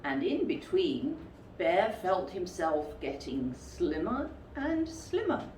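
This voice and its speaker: A woman reads a children's story in an expressive, deliberate way. Her pitch swings in an animated manner at an unhurried pace. The recording is roomy, with some distance from the mic. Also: slight background noise.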